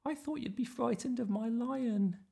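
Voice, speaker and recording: Man putting on a high-voiced, curious little storybook girl. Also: moderate pace, vocal fry, husky, heavily acted. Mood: surprised. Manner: hushed, earnest, high-pitched. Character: curious, impatient little granddaughter in a bedtime story.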